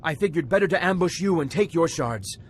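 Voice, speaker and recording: An actor playing an anxious, craven young Sith trainee in a video game, earnest and faltering, with music underneath. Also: male voice, on the high side, lively intonation, a little fast, slight background noise, lightly acted. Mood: desperate, resigned. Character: anxious, defeated male Sith acolyte, craven and grateful. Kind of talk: scripted video game drama.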